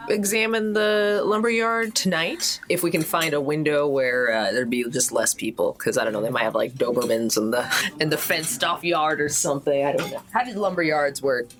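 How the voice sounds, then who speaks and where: A woman with a notably deep voice takes part in a tabletop game. She talks casually and works out her ideas aloud, a little hesitantly. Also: normal speed, fairly bright tone.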